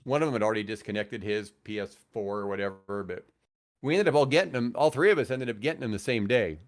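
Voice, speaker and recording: An older man with an American accent, telling rambling, animated anecdotes casually as a radio veteran on a podcast. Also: lowish voice, fast talker. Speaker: radio host and guest.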